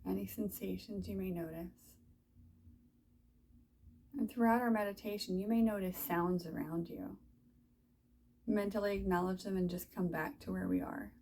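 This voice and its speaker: A woman teaching a guided body scan meditation, giving soft, soothing, unhurried instructions with long pauses. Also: mid-range voice, animated, vocal fry, slight background noise.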